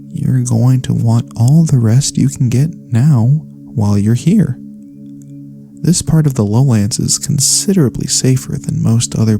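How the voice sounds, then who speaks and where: A gentle, caring elf cleric voiced by a man speaks softly in an ASMR fantasy roleplay. His voice is mellow and relaxed with a crackly, gravelly edge. He is courteous and a little formal, soothing the listener over faint music. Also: medium pitch. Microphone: close studio USB microphone.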